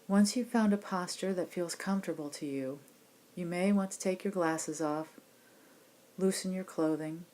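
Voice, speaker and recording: A woman meditation teacher giving guided body scan instructions in a gentle, unhurried, reassuring manner. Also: lowish voice, low-quality recording. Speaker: meditation teacher leading a class program.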